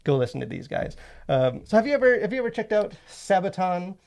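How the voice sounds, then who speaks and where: A man's voice: high-pitched, sing-song, fast talker, vocal fry, darkish tone. A man hosting a podcast in a casual, rambling, enthusiastic way, leaning heavily on "like" as he talks. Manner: rambling, enthusiastic, heavy use of "like". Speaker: podcast host and movie reviewer.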